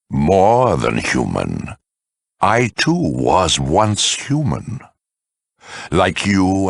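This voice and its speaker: A huge mutant lieutenant, a video game villain with an extremely low, husky growl. He is eloquent, smug and zealous, swinging theatrically in pitch as he talks down to his listener. The sound is close and dry, with an air of polite menace. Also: fairly bright tone, dry recording, heavily acted. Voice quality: very deep, gravelly, rasping. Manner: condescending, eloquent, slow and deliberate.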